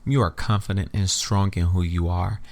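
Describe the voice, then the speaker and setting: A man's voice: sits low, steady tone, normal speed, darkish tone, voice pushed down. A man playing a gallant, tender and protective boyfriend in a romantic audio drama, speaking earnestly and reassuringly.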